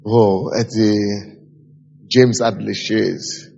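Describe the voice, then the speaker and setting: Husky pastor in an echoing hall. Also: male voice, lowish voice, level tone, drawn-out words, a little mellow. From Africa. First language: an African language. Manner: emphatic, repeats key phrases and asks the class questions. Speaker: pastor teaching a ministry school. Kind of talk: exhorting lecture. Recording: dull lo-fi tape with long hall reverb.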